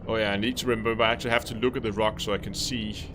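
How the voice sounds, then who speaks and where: A man's voice: flat delivery, very fast, noisy background. Danish man musing through a game stream. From Denmark. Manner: thinking aloud, musing, low-key. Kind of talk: casual live-stream commentary while playing.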